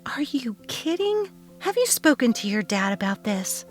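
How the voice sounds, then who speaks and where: An anxious, emotional middle-aged mother played by a woman reader in a dramatic audio story. Her voice is pitched up from the reader's usual low register, with music behind her. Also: big pitch swings, normal speed. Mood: shocked, worried. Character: worried, resentful middle-aged mother Elle.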